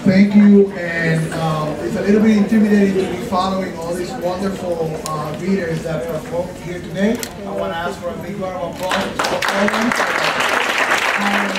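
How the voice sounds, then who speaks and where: A middle-aged man speaks at a podium in a college event room, thanking the audience with fillers and gestures. His voice sits high for a man, in an echoey room heard from a distance, with an audience present. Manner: warm, gesturing, with frequent fillers. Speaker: college president. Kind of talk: ceremonial remarks. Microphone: podium mic.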